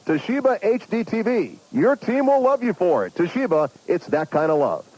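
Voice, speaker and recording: High-voiced male TV commercial announcer. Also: very animated, normal speed, darkish tone, rough recording. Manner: enthusiastic product pitch. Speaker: commercial voice-over. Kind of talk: scripted ad read.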